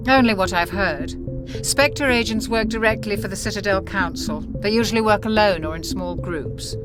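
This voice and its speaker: An actress playing a calm, measured female ship's doctor in a video game. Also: medium pitch, music in the background, lightly acted. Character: level-headed female ship doctor. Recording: game audio with score.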